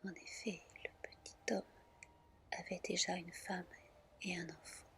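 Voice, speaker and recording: A woman reading a tale aloud in a soft, soothing ASMR style, with deliberate phrasing. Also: lowish voice, little pitch movement. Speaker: storyteller, ASMR reader.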